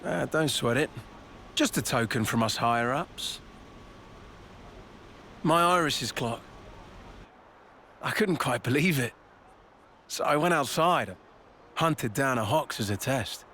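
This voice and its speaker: An actor playing a friendly, plain-spoken soldier in a video game cutscene, talking in a casual, colloquial way. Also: male voice, mid-range voice, lively intonation, slow, long pauses, bright, forceful tone, slight background noise, heavily acted. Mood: easygoing, grateful.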